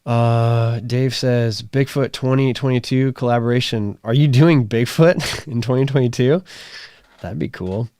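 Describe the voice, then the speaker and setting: A man in his thirties hosting a live stream, chatting casually and reading viewer comments, his voice strained and raspy, talking in rambles full of fillers. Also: mid-range voice, darkish tone, dry recording, studio quality. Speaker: livestream host.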